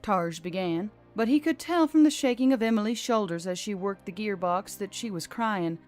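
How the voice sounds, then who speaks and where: A woman's voice: medium pitch, expressive, moderate pace, darkish tone. A woman reading scripted fiction as an audiobook narrator. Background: background music.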